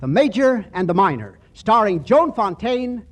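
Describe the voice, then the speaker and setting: A man's voice: high-pitched, big pitch swings, moderate pace. A man hosting a scripted 1940s radio program, heard on a faintly noisy old broadcast. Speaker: host.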